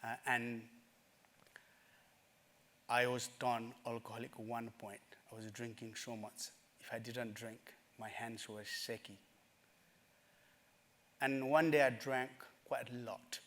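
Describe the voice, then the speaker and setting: A middle-aged man from Nepal, telling his life story in a summit talk, earnest and halting, with many fillers. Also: mid-range voice, fairly even intonation, a bit bright. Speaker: speaker at a summit. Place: conference stage.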